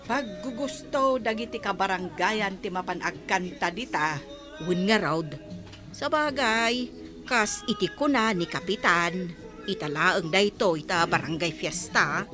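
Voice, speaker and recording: A woman's voice: mid-range voice, unhurried, over music, close to an everyday voice. A woman playing a stubborn village councilor in a comedy radio drama, arguing her point insistently. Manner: argumentative, insistent.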